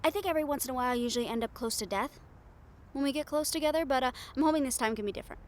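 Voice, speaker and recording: A woman's voice: high-pitched, rapid-fire, a little hiss or hum, lightly acted. A woman playing a brash, cynical, foul-mouthed reporter in an improvised audio roleplay, with a blunt, sardonic manner. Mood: teasing, wry.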